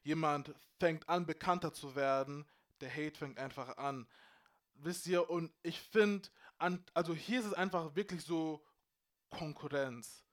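A man's voice: a little high, measured pace. A man from Bavaria hosting a casual group discussion, dropping English phrases into his talk. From Bavaria, Germany.

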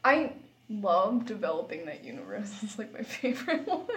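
A woman's voice: medium pitch, very animated, normal speed. A young adult woman in casual podcast chat, giggly and self-deprecating in the way she talks. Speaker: podcast host.

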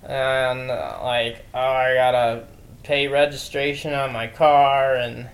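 A man's voice: mid-range voice, takes it slowly. A man of thirty talks in a loose, conversational way. He co-hosts a casual chat with a light joking streak. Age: 30s. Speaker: podcast co-host.

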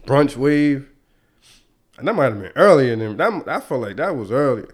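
A man's voice: medium pitch, very animated, moderate pace, slightly rough. A young man hosting a podcast in a casual, slangy way, stuffy and congested from a cold. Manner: laid-back, slangy, chuckling. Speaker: podcast host.

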